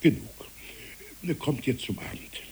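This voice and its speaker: A man playing a kindly, reflective village pastor in a literary radio play, speaking in a measured, gently formal way. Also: medium pitch, lively intonation, vocal fry, slight background noise, low-quality recording.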